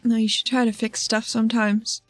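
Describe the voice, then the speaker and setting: A woman's voice: a little high, flat delivery, moderate pace, no echo, faint music behind, close to an everyday voice. A woman voicing a prickly, conflicted adventurer woman in a tabletop game, pointed and curt.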